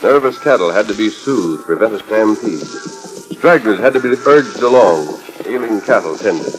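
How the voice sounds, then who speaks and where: A man's voice: on the low side, animated, normal speed, natural voice, poor audio. A man playing the stately American narrator of a 1940s Western radio serial. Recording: old radio disc with loud music behind.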